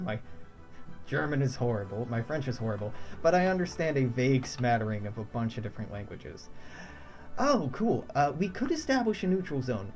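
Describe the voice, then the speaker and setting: A man's voice: mid-range voice, darkish tone. Chuckling young man playing a game. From United States. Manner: thinks aloud, chuckles often. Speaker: game streamer. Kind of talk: casual let's-play commentary.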